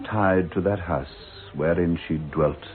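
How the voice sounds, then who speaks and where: A man's voice: low-pitched, a little slow. A man narrating a scripted radio ghost story as an ominous storyteller. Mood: ominous, solemn. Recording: tinny old radio recording. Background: music.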